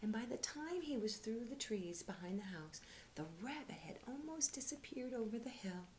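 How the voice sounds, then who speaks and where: Expressive woman reading a children's storybook. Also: on the high side, moderate pace, low-quality recording. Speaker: teacher reading aloud to children. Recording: faint background noise.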